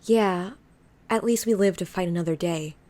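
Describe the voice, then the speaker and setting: A woman's voice: lowish voice, moderate pace, warm tone, no room sound, lightly acted. A woman playing an earnest, defiant young heroine in an audio story. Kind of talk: audio story character acting.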